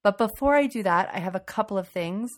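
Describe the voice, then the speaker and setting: Raspy-voiced woman host, getting over a cold. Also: mid-range voice, animated, brisk, soft background music. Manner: chatty and enthusiastic. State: recovering from a cold. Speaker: podcast host. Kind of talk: casual solo podcast intro.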